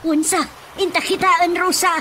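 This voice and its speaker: An exasperated older wife played by a woman with an Ilocano accent, very high and exclamatory. The radio drama track is noisy behind her. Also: little pitch movement, moderate pace, pushed and bright. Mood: alarmed. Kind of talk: radio drama comedy acting.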